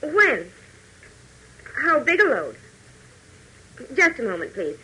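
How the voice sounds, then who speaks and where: A woman playing a brisk, capable young airport office assistant in a 1940s radio adventure. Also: high voice, huge pitch swings, pushed and bright, husky, full character voice, poor audio. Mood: brisk, courteous.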